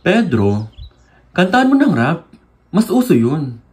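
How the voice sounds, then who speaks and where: A man's voice: on the high side, huge pitch swings, slow, a little mellow, slightly rough, heavily acted. A man playing a village man who advises and officiates in a radio drama. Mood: prodding. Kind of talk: student radio drama acting.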